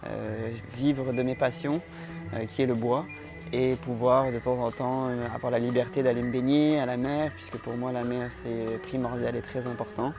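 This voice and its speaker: A man from France answering interview questions in a calm, hesitant way, with frequent filler sounds. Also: medium pitch, moderate pace. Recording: music playing underneath.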